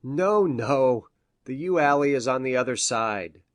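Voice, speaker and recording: A man's voice: medium pitch, animated, a little slow, natural voice. A man playing a courteous, obliging country doctor in an audiobook, polite but firm. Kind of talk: audiobook character acting.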